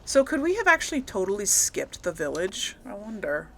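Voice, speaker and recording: A woman's voice: mid-range voice, very animated, moderate pace, a bit bright. A woman hosting a gameplay video, thinking aloud in casual commentary as she plays. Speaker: gaming video host. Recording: clear noise behind voice.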